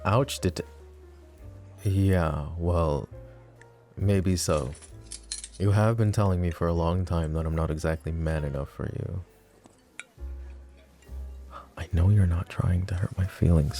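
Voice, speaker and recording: A man performs a flirty, possessive mafia boss for an ASMR roleplay. His voice is very deep and dark, with a heavy fry running through it. He speaks intimately and teasingly, as if to a lover across the table, with soft music faintly behind. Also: steady tone, a little slow. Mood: teasing, wry. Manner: intimate, teasing, casual, addressing the listener directly.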